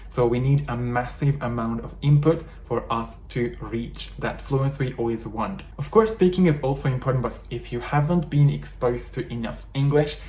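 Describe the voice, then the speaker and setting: A man's voice: mid-range voice, darkish tone. A man with a Hungarian accent, a native Hungarian speaker, explaining English learning to viewers in an earnest coaching style. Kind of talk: talking-head teaching video. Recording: echoey room, faint background noise.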